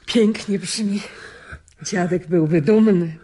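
An actress playing a chatty, conciliatory middle-aged mother in a radio drama, homely and coaxing, with a husky voice. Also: lowish voice, slow pace, dark tone. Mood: proud, coaxing. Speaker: actor in a radio play.

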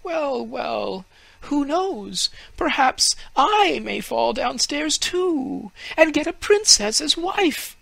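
A man voicing a naive, vain young male fir tree in a storybook reading, in a high, wondering, eager voice. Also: animated, slow, gravelly, fairly bright tone, no room sound, heavily acted. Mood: hopeful.

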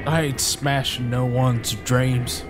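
An actor playing a cruel, mocking outlaw brother who makes threats in folksy rural slang. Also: male voice, mid-range voice, flat delivery, slow, over music, natural voice. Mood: sneering. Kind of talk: indie audio drama acting.

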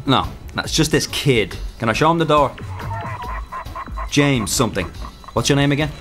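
An actor playing a put-upon shop clerk of thirty-three, sullen, defensive and easily riled, in an audio drama. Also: male voice, mid-range voice, animated, normal speed, a bit bright, faint music behind, close to an everyday voice. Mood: irritated.

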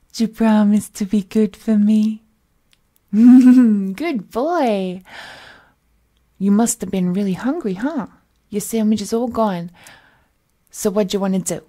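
A woman acts out a possessive, unhinged female kidnapper for a roleplay audio. Her voice is soft-edged and mellow, and she takes her time, coaxing in folksy slang with sweet menace and slipping into breathy whispers. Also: mid-range voice. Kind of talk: roleplay audio acting, intimate monologue.

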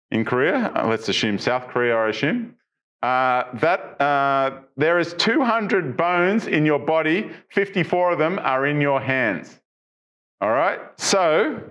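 A man's voice: mid-range voice, fairly bright tone. Middle-aged man, tech news presenter, chatty, filler-heavy, studio, lapel mic. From Australia. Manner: chatty, many fillers and restarts, asks viewers questions. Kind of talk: casual tech news walkthrough. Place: studio with branded backdrop.

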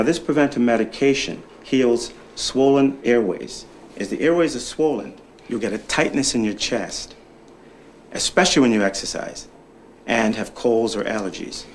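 Casting: male actor as middle-aged doctor, mid-pitched, measured, reassuring, educational video. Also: a little hiss or hum, lightly acted. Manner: measured, instructive. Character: calm, reassuring family doctor explaining asthma. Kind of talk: scripted educational explanation.

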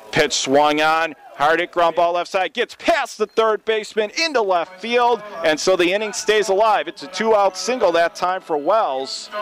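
A man's voice: a little high, fairly bright tone. A man calling a baseball game live as a radio play-by-play commentator. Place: open-air baseball stadium. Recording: clear outdoor ballpark ambient noise.

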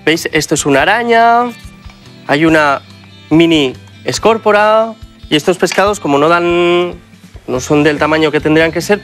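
A man's voice: fairly high pitch, a bit bright. A middle-aged man with a Spanish accent, a chef hosting a TV cooking show, explaining ingredients to viewers in a studio kitchen. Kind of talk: TV cooking demonstration.

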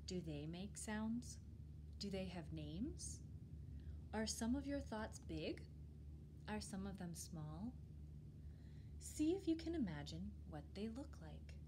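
Slow, soothing woman reading to children. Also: mid-range voice. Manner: calm, measured, soothing, with soft breathy phrase endings. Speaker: children's librarian reading at storytime. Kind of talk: read-aloud guided meditation for children. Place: library room with bookshelves, indoors. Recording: clear steady background room noise.

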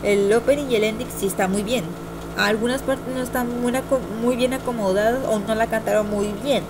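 Young girl vlogger, highish voice, rambles and repeats herself, lots of noise on the mic. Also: fairly even intonation, a little fast. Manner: rambling, repetitive, hesitant. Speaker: amateur video reviewer. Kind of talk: casual opinion vlog. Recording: noisy amateur home computer recording.

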